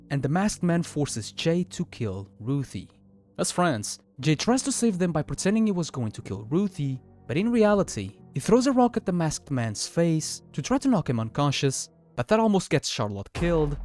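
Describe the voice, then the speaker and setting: A man's voice: a little high, a little mellow. A man giving scripted, explanatory narration in the style of a video essay.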